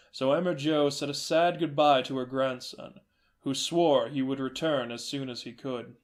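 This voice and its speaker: Man, narrator, fairy tale, gentle, measured, fairly high voice. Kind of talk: storybook narration.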